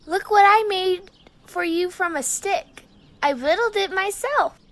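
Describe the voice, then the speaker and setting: A woman's voice: very high-pitched, a little slow, a bit bright, heavily acted. A woman playing an earnest, wistful young boy in an audio drama. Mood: eager.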